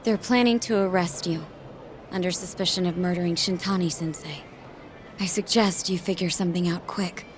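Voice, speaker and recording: An actress playing an urgent, secretive young woman in disguise in a video game, insistent and often hushed. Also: fairly low pitch, fairly even intonation, moderate pace, slight background noise, lightly acted. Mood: hushed, serious. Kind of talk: English game dub cutscene acting. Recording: music behind the dialogue.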